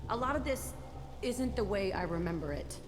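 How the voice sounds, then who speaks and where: An actress playing a tough, bitter young ex-prisoner in a video game, blunt and hard-edged. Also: female voice, medium pitch, expressive, normal speed, big-room sound, background noise, lightly acted. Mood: bitter, guarded.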